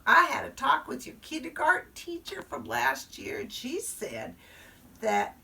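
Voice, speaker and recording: A woman's voice: high-pitched, huge pitch swings, measured pace, gravelly, bright, forceful tone, recorded from a distance, a little hiss or hum, voice pushed up, full character voice. A woman storyteller voicing a bossy, cheerful female first-grade teacher giving sing-song orders. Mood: instructing. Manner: sing-song commanding classroom instructions.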